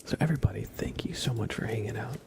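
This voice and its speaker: A man speaking in a soft, breathy half-whisper, gently and unhurriedly, as an ASMR livestream host close to the microphone. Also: fairly low pitch, fast.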